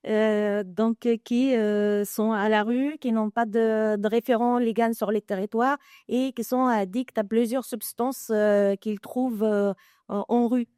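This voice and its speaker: A woman working as an educator talks on a panel, pausing often and restarting her sentences. Her voice is mellow and smooth, heard dry and close, and she speaks slowly. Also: fairly high pitch, fairly even intonation, no echo. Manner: hesitant, many fillers and restarts. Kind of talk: panel discussion. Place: conference room.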